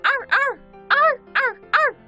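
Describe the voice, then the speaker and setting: Cartoon news skit: swooning girl husky, very high, lively barks voiced by a man. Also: a little slow, soft background music, full character voice. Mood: distressed. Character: female husky dog, sweet and swooning.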